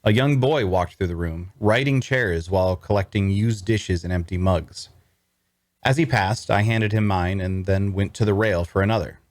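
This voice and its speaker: The earnest, down-on-his-luck wanderer a man acts out narrating a fantasy audio drama, low-voiced, as if recorded in a padded booth. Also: moderate pace, no room sound, close to an everyday voice. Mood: reflective. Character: earnest, cash-strapped young male traveler telling his tale. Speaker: narrator and lead actor in a table read. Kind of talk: first-person fantasy narration and dialogue.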